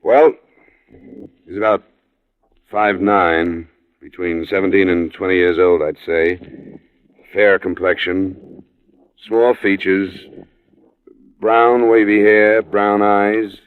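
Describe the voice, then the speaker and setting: Low-voiced hard-boiled radio detective. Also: male voice, fairly even intonation, slow, pauses often, clear, resonant tone, dry recording. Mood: clipped, businesslike. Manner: clipped, matter-of-fact. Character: hard-boiled male freelance insurance investigator, cool and blunt. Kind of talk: 1940s-50s radio crime drama acting, first-person narration and dialogue. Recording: muffled, band-limited old radio recording.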